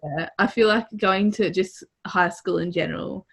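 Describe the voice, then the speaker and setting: A woman's voice: on the low side, normal speed, a bit bright, slightly rough. A teenage woman with a broad Australian accent, co-hosting a casual podcast chat, giggly and teasing. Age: teens. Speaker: podcast co-host.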